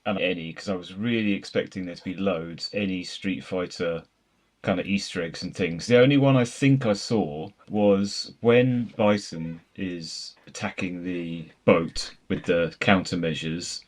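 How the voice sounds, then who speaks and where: A man's voice: sits low, measured pace. A man chatting casually as a panelist on a film discussion, rambling through anecdotes. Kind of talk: casual chat.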